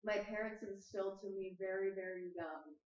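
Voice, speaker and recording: A woman's voice: mid-range voice, moderate pace, a little mellow. Chatty young woman in an echoey room. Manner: chatty, many "like" fillers. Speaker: podcast guest. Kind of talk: casual conversation. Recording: echoey room, distant mic, dull sound.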